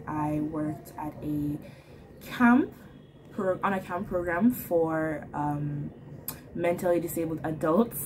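A young Jamaican woman of eighteen speaks in a low, full voice, bubbly and talkative. She is recorded on a laptop webcam in a small room at home. Clear background noise sits under her speech the whole time. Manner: bubbly, chatty, with light laughs. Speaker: work and travel applicant. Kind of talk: self-introduction video. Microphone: laptop webcam mic at close range.

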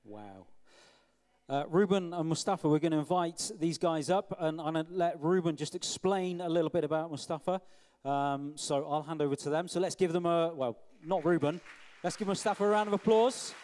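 A man's voice: fairly high pitch, normal speed, darkish tone. A man leading a baptism service, responding enthusiastically and casually as he introduces the next speakers. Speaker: service leader. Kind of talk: hosting a church service. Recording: long hall reverb with crowd.

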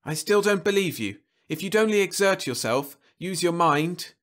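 A man reading a story aloud, voicing a desperate, bitter man who shouts angrily. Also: sits high, animated, normal speed, pitched above the performer's own voice. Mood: angry, frantic. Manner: shouting, savage.

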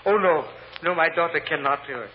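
A man playing a humble, earnest immigrant grocery clerk with a Spanish accent, testifying in halting, deferential broken English in a vintage radio drama. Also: fairly high pitch, natural voice. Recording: muffled old radio recording, hiss, long reverb.

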